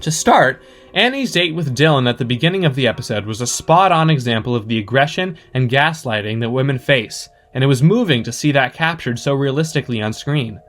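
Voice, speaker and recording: A man's voice: medium pitch, expressive, brisk, a bit bright. A man giving articulate, scripted commentary as a listener sending in his thoughts.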